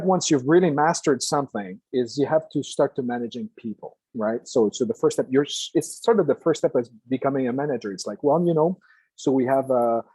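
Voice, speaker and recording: Older man from Quebec, mellow husky voice. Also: on the high side, no echo, poor audio. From Quebec, Canada. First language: French. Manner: halting, with many restarts and fillers. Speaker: guest lecturer. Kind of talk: lecture to a class.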